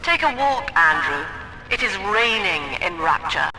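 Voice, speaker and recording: An actress playing a cool, quietly menacing woman psychiatrist, lecturing formally in a game audio diary. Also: lowish voice, very animated, unhurried, background noise. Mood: persuasive, challenging.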